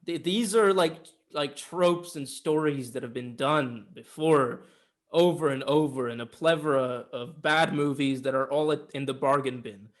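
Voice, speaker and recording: Higher-voiced, argumentative man debating films. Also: a little slow. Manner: argumentative, emphatic, casually sweary. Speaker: podcast co-host reviewing films. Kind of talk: casual opinionated film discussion.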